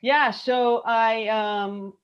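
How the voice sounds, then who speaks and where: A middle-aged woman with a Brooklyn accent, chatting lively as an interview guest on a video call and laughing often. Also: fairly high pitch, drawn-out words, clear, resonant tone, highs cut off. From Brooklyn, New York. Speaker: guest, travel industry consultant. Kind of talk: casual interview chat.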